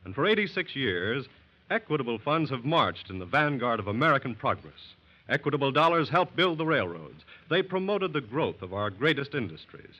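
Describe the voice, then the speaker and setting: A man's voice: mid-range voice, very animated, normal speed. A man announcing in a formal, stately 1940s radio style, reading a scripted sponsor message. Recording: muffled old radio recording.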